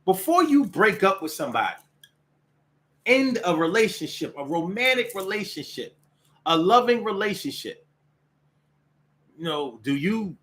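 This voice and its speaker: A man's voice: high voice, big pitch swings, unhurried, long pauses, a bit bright. An American man giving a casual, opinionated solo monologue in streetwise slang, repeating phrases for emphasis. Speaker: solo show host.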